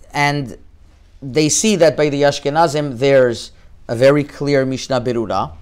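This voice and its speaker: A middle-aged man from Canada, a rabbi giving an informal lecture, talking haltingly with frequent restarts and Hebrew terms woven into his speech. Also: on the high side, clean tone.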